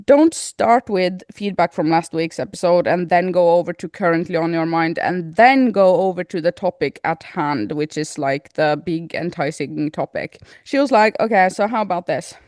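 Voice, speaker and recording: A woman's voice: on the low side, normal speed, hardly any pauses, darkish tone, dry recording, studio quality. Casual, giggly woman podcast host. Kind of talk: casual chat.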